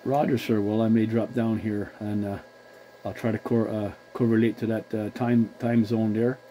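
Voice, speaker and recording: A man chatting as a CB radio operator, courteous and measured, using formal call-sign procedure. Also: lowish voice, little pitch movement, mellow, slight background noise, rough recording. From Newfoundland, Canada.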